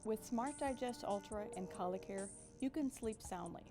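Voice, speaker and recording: A woman's voice: mid-range voice, normal speed. A woman voicing a scripted commercial in a polished, reassuring way. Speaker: ad voice-over. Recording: ad read over music. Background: music.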